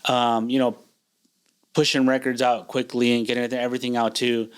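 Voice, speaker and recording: A man in his forties, a chiropractor guest in a podcast interview, talking in a relaxed, reflective way with many fillers and restarts. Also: medium pitch, normal speed, clear, resonant tone. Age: 40s. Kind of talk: casual interview conversation.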